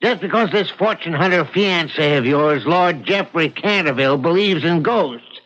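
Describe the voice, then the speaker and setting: Impatient American boss dad, forceful, high voice, skeptical, muffled vintage radio play. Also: male voice, animated, moderate pace. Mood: irritated. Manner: brash, impatient. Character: blustery, skeptical middle-aged American businessman father. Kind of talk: old-time radio drama acting. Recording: dull vintage radio recording.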